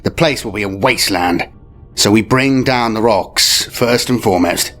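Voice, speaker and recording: A man's voice: lowish voice, normal speed, bright, forceful tone. A man voicing a shrewd veteran fleet admiral in an audio war story, with clipped, declamatory military phrasing. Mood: animated, confident. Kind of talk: dramatic audio story character. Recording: music behind the voice. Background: music.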